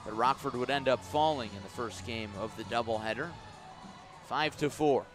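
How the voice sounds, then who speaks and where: An American man doing live radio play-by-play of a baseball game, measured between pitches and emphatic on the action. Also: music in the background. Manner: measured description rising into emphatic calls on the action. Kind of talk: live radio sports commentary. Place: outdoors at a ballpark.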